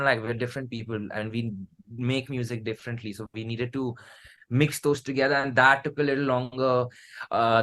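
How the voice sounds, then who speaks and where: A man with an Indian accent talks in a loose, conversational way as an interview guest. His delivery rambles, doubling back on phrases and repeating himself as he explains. The pitch sits in an ordinary middle range for a man. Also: fairly bright tone. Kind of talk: casual conversational anecdotes.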